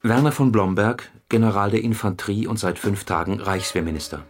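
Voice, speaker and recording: A man's voice: fairly low pitch, fairly quick, soft background music. A man reading scripted material as an announcer in a radio documentary, formal and official in manner.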